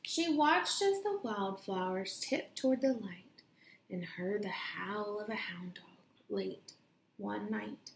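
A young woman reading a children's picture book aloud in a deliberate, expressive storytelling way, recorded in a room at home. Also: mid-range voice, gravelly, a little hiss or hum. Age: young adult. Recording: roomy home echo.

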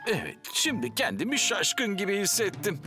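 A man's voice: high-pitched, sing-song, moderate pace, music in the background. An actor playing a cocky, goofy fireman who fancies himself a dog expert in a cartoon dub, with a boastful, exaggerated manner. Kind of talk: animated cartoon dub acting.

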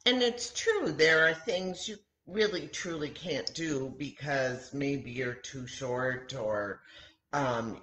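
A woman in her sixties talking and reading to children in simple, deliberate phrases with frequent pauses, as a story time host. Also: low voice, a bit bright. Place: home kitchen, indoors. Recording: roomy echoey home webcam recording.

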